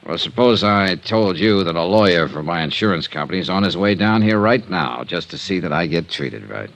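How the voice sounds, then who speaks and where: An actor playing a calm, laconic male insurance investigator who also narrates, in a 1950s radio drama. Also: low-pitched, brisk, vocal fry, no echo, full character voice. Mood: defiant. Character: cool, dry-witted male insurance investigator. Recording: old radio recording with music behind.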